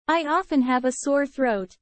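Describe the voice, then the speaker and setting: A woman reading English phrases in a measured way for learners to repeat. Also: high voice, dry recording. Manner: measured, clear drilling. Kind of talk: slow repeated phrase drills.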